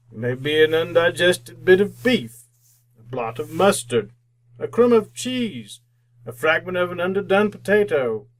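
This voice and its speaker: A man playing a miserly, cantankerous old businessman in an old-time radio drama, with a grumbling, theatrical, sputtering delivery. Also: on the high side. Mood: skeptical, defiant.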